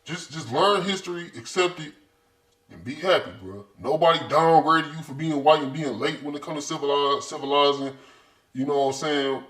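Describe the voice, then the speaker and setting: A man's voice: a little high, normal speed, a bit bright, far from the mic. A man from the United States hosting a video, reacting to a lecture in heated, rambling, slangy talk. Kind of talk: casual commentary. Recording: roomy, away from the mic.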